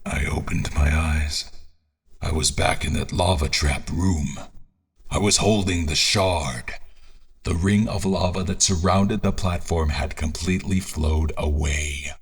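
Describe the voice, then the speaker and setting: An actor playing a solemn, haunted scholar-explorer who narrates his deadly quest in a brooding, deliberate way. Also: rumbling low, fairly even intonation, gravelly, husky, full character voice. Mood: grim, hushed. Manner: brooding, deliberate, with dramatic pauses. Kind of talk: dramatic first-person audio fiction narration. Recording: music behind the voice.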